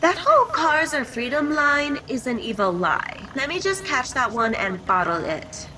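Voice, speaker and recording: A woman voicing an excitable, scheming yet earnest young female alien in an animated puppet show, rattling off computer-like announcements. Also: sits high, moderate pace, noisy background. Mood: excited.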